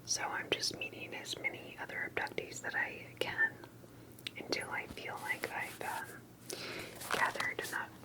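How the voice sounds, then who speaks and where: A woman speaking in a breathy, unvoiced whisper, gently and hesitantly putting questions in an ASMR roleplay interview, with soft unhurried pauses. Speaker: ASMR performer playing an interviewer.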